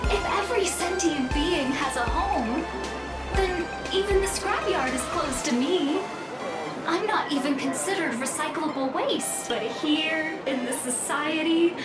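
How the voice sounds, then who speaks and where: High-pitched gentle female robot, slow earnest delivery, serene believer, video game, echoing, loud music behind. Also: distant mic. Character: gentle, devout female robot, serenely happy cult member.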